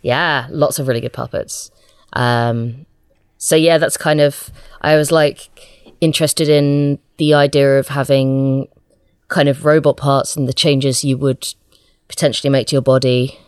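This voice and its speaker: A woman's voice: a bass voice, steady tone, a little slow, smooth, no room sound, studio quality. A woman chatting casually as an interview guest, a writer with a hesitant, self-deprecating manner.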